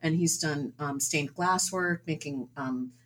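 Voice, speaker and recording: A woman's voice: low voice, measured pace, slight background noise. A woman guest, a painter who trained as a doctor, answering interview questions thoughtfully with frequent ums and uhs. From suburbs of New York City. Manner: hesitant, many ums and uhs. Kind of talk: casual interview chat.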